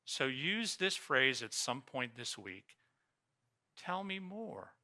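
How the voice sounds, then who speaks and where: An older male pastor delivers a sermon at the pulpit of a church sanctuary, into a pulpit microphone. His voice is very bright and ringing at a middle pitch. He builds to loud emphatic stretches and then drops to soft, quiet lines. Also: slow pace. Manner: animated preaching, rising loud for emphasis then dropping soft.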